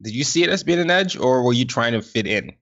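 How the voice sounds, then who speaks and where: A man's voice: medium pitch, lively intonation, fast, fairly bright tone, dull audio. A man hosting a podcast, effusive and upbeat, often repeating himself as he talks to listeners and his guest. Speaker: podcast host. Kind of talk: podcast intro and interview.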